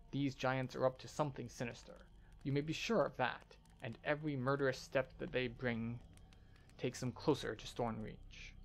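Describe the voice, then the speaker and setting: An imperious male fantasy game leader, read out by a man, bent on revenge. He speaks in a gravelly, haughty, commanding way, with faint noise behind. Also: medium pitch, natural voice. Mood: stern, urgent.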